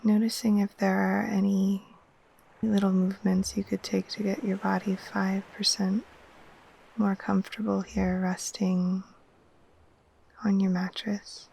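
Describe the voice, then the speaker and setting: A woman leads a guided sleep meditation in a soft, breathy near-whisper. Her tone is mellow and very even, with little rise or fall. She speaks very slowly and gently, leaving long, soothing pauses. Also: mid-range voice, a little hiss or hum, low-quality recording. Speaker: yoga nidra guide. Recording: faint steady wash of ocean waves.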